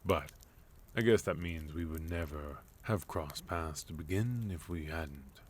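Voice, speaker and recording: A man playing a sophisticated, courteous villain leader in a soft-spoken, gently teasing ASMR roleplay. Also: extremely low pitch, unhurried, slightly rough, full character voice. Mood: calm, thoughtful. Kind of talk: ASMR roleplay, intimate scripted monologue to listener.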